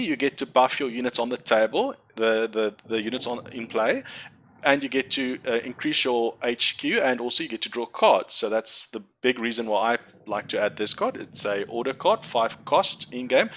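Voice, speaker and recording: A man's voice: mid-range voice, fairly bright tone. A young man whose first language is Afrikaans, rambling and correcting himself as he walks through building a card game deck. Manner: rambling, self-correcting, chatty explainer. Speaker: gaming content creator. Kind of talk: casual game tutorial commentary.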